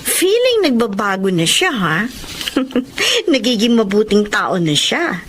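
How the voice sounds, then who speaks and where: A woman's voice: mid-range voice, sing-song, slow pace, a bit bright, slight background noise. A Filipino actress playing a cheerful, chatty young woman office worker in a radio drama. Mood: gossipy, amused.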